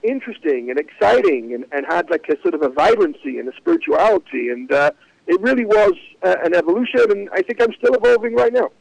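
A man, a rabbi talking about his life as a guest on a casual podcast, reflective and often pausing with "uh, you know.". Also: sits high, sing-song, vocal fry, no echo, low-quality recording. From Manchester, England.

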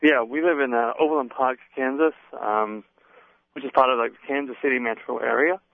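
A man with a fading English accent, talking as a guest on the phone in a friendly, measured way. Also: mid-range voice, steady tone, creaky, dry recording. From England. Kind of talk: phone interview. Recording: tinny phone line.

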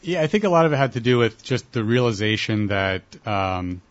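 Young male guest, low voice, halting delivery, muffled lo-fi audio. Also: lively intonation. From Columbus, Ohio. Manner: hesitant, many uh fillers. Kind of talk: casual interview answers. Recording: dull lo-fi broadcast audio.

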